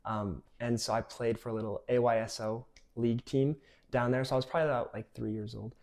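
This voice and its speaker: A man's voice: mid-range voice, steady tone, fairly quick, a little mellow. A man of twenty-one with an American accent, telling stories casually in an interview as a college athlete. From California, United States. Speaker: college soccer player.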